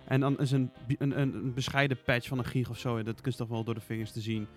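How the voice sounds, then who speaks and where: A man discussing casually as a podcast panelist, in a measured, conversational way. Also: mid-range voice, flat delivery, faint music behind.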